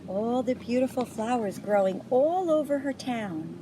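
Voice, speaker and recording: A woman reads a picture book to young children at a slow, unhurried pace. Her voice is on the high side and very expressive, and she pauses to show the pictures. There is clear noise behind her. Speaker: storybook reader.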